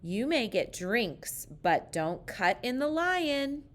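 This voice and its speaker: A woman reader voicing a silly, cheerful lady schoolteacher in a children's storybook, announcing things in a bright sing-song manner. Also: a little high, sing-song, slow pace, voice pushed up. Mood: playful, sing-song.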